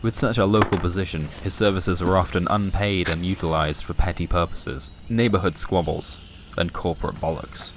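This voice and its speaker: A man narrating scripted noir comic fiction in a deadpan, wry manner. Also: low voice, normal speed, background noise. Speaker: narrator and presenter. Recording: music behind the voice. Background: music.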